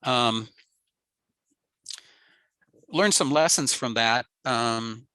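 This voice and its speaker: A man giving a relaxed technical slide talk on a radio network, explaining with frequent ums and uhs. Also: mid-range voice, extremely slow, clear, resonant tone, no echo. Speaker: ham radio presenter.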